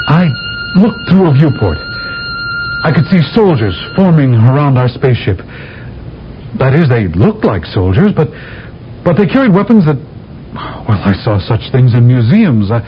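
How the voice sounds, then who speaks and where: A man's voice: mid-range voice, a little hiss or hum, lightly acted. An actor playing a weary, wry astronaut commander, telling his story dramatically in a radio drama. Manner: dramatic, hesitant first-person storytelling. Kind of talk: radio drama narration and acting. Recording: dull old radio broadcast.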